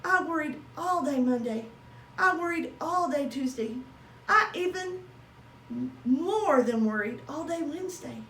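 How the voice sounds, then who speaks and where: A woman voicing an excitable, self-pleased young male hamster in a storybook, exclamatory and dramatic. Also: high-pitched, unhurried, recorded from a distance, voice pushed up, exaggerated voice. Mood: worried. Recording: roomy echo with faint noise.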